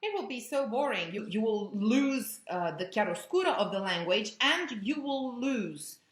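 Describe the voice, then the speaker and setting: A woman's voice: a little high, moderate pace. A woman, an opera coach explaining vocal technique with vivid imagery. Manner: expressive, imagery-rich teaching. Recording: roomy echo.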